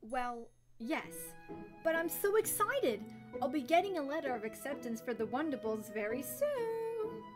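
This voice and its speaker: A woman's voice: high-pitched, big pitch swings, a little slow, over music. A woman playing an eager eighteen-year-old mare in an amateur cartoon fan audio drama, gushing and exclaiming. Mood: excited, proud.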